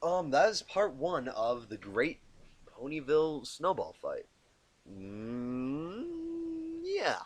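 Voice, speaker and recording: A man narrating a story expressively as an amateur reader, informal and quick to correct himself. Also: medium pitch. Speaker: amateur fan fiction narrator.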